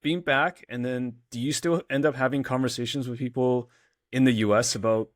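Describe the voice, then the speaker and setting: A man's voice: medium pitch, steady tone, a little fast, dry recording, clean studio sound. A man hosting an interview, asking loose questions and rephrasing them as he goes. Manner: rephrases questions mid-sentence, restarts. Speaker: podcast host. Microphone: remote call recording.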